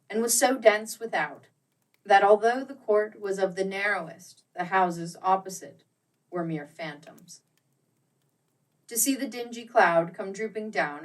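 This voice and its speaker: A woman narrating a storybook dramatically, with deliberate phrasing that swells and drops to a hush. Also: on the low side, recorded from a distance, rough recording. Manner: theatrical, deliberate phrasing with strong swells and hushes.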